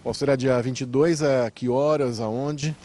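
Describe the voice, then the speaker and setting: A middle-aged man reporting outdoors, asking brisk interview questions into a handheld mic. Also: mid-range voice, steady tone, mellow, a little hiss or hum. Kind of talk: field interview. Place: outdoors by a brick wall.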